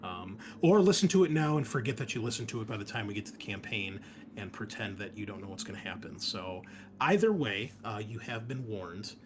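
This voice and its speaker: A man's voice: lowish voice, expressive, fairly quick, noisy background. A man hosting and running a tabletop game session, chatting casually and welcoming his players in a friendly way. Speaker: game master and show host.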